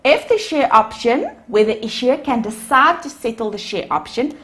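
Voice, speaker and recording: A woman's voice: on the high side, big pitch swings, moderate pace, a bit bright. A woman lecturer with a South African accent, a native Afrikaans speaker, explaining accounting over slides and calling her students "guys". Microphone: voice-over on screen recording.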